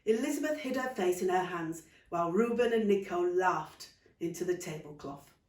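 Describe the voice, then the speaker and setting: Animated woman reading a storybook aloud. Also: fairly low pitch, moderate pace. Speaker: author reading own story. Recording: roomy, distant microphone.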